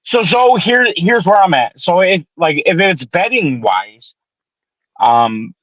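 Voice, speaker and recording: A man in his twenties from the Detroit area, co-hosting a podcast in casual, profane chat, animated while he pitches advice. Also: slow, no echo. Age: 20s. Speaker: podcast co-host. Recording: dull lo-fi sound.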